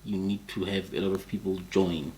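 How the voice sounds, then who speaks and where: A man with a very low voice, thickened by a flu, chats in a relaxed, thoughtful way. A faint hiss of noise sits under his voice. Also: fairly quick, rough recording. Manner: relaxed, musing, laughs easily. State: sick with flu, voice a bit off. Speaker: co-host. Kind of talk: casual chat.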